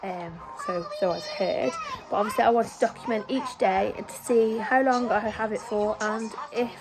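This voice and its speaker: A woman vlogging a home video diary while ill, her voice croaky and tired, talking plainly with many pauses and ums. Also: medium pitch, fairly even intonation. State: croaky voice from illness. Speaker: family vlogger. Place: family home.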